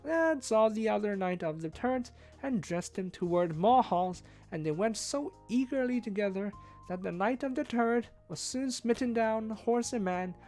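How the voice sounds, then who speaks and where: A woman streamer reading a classic novel aloud as expressive read-along narration. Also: fairly high pitch, normal speed. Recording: faint music behind the voice.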